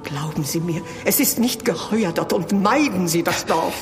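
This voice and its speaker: An actor playing a grave, pious monk in a horror audio drama, speaking solemnly and portentously. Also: male voice, high voice, expressive, normal speed. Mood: urgent warning.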